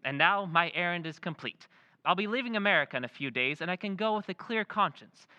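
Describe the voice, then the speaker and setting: Radio drama: formal, smooth-mannered stranger, played by a man, bright tone, dry close mic. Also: sits high, expressive, brisk, no room sound, lo-fi sound, heavily acted. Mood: courteous. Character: polite mysterious male stranger, foreign-born. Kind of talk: old-time radio drama recreation.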